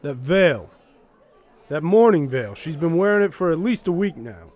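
An actor playing a brash, gossipy nightclub wisecracker in a radio drama, needling in manner. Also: male voice, a little high, lively intonation, normal speed, darkish tone, a faint crowd, lightly acted. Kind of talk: comic radio drama acting.

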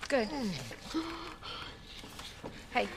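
A woman acting a determined, caring young American woman in a video game cutscene, with an urgent, emotive delivery. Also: extremely slow. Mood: concerned, subdued. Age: young adult. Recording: reverberant, noisy game ambience.

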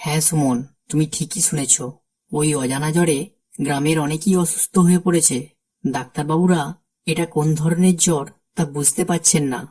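A woman's voice: low-pitched, steady tone, moderate pace, dark tone, breathy edge. A woman voicing a worried older village man in a cartoon, anxious and scolding.

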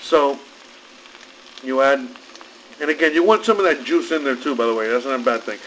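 A man's voice: medium pitch, normal speed, a bit bright, low-quality recording. A man in his thirties from Cuba chats casually and ramblingly as he hosts a home cooking vlog. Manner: easygoing, rambling. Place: home kitchen, indoors. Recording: noticeable background noise in a kitchen.

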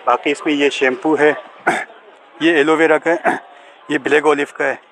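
A man's voice: a little high, fairly even intonation, moderate pace, a bit bright, low-quality recording. A man with a Pakistani accent presenting goods and prices like a salesman at a market stall. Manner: salesmanlike, listing items. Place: outdoor covered bazaar stall. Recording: busy outdoor market crowd noise.

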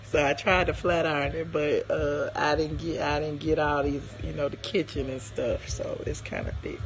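A hoarse woman in her forties, chatting casually on a live stream from her living room, rambling and greeting viewers by name, with a phone held at arm's length. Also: low-pitched, flat delivery, moderate pace, faint music behind, poor audio. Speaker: livestream host. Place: living room at home, indoors.

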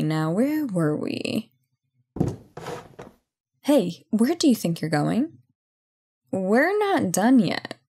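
A woman acts out a female CEO and reformed bully in an intimate audio roleplay. Her voice sits a little low, with plenty of vocal fry and a very slow, pause-filled delivery. She sounds self-assured yet guilt-ridden, conversational and hesitant. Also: darkish tone, full character voice. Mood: awkward, apologetic, then assertive, persuasive. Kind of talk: intimate ASMR roleplay acting.

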